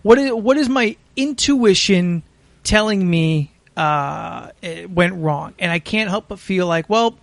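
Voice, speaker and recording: An American man hosting a podcast, telling a story casually in a halting, sweary way. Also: high voice, lively intonation, gravelly, a bit bright, dry recording. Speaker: podcast host.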